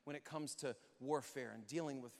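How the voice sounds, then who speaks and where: A man's voice: medium pitch, a little fast, gravelly. A man preaching a contemporary, conversational sermon as a pastor, speaking emphatically and informally with rhetorical questions and repetition. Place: large church hall, speaking to an audience. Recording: echoey hall with long reverb.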